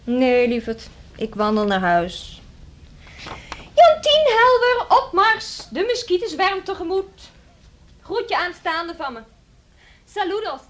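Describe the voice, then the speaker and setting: A cheeky, sardonic young woman in a radio play. Her voice is high and swings freely up and down. She teases theatrically and sounds restless. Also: very animated, slow, long pauses, a bit bright, heavily acted. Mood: mocking. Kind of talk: radio drama acting.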